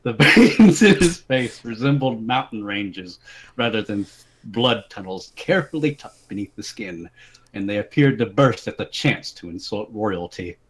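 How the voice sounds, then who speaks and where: A man's voice: fairly low pitch, lively intonation, measured pace, husky, poor audio. A man giving a dramatic reading of a comic fantasy story as its narrator. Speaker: story reader.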